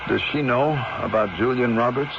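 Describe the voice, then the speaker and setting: An actor playing a weary, frightened patient back from near death, with an American accent, in a 1970s radio drama. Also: male voice, medium pitch, moderate pace, vocal fry, natural voice. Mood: weak, fearful. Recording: muffled, noisy 1970s radio recording.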